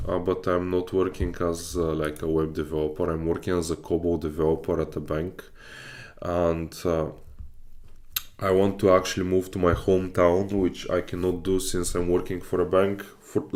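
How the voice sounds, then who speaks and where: A man's voice: low voice, darkish tone. A man from Bulgaria livestreaming his study session, casually reading aloud and hesitantly thinking through it as he goes. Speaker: live streamer learning web development.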